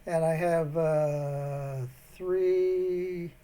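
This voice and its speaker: A man's voice: on the high side, fairly even intonation, drawn-out words, dark tone. A man baiting a phone scammer, rambling and wandering off mid-sentence, growing more indignant as he goes. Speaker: scambaiter posing as an elderly bank customer. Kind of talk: prank phone call.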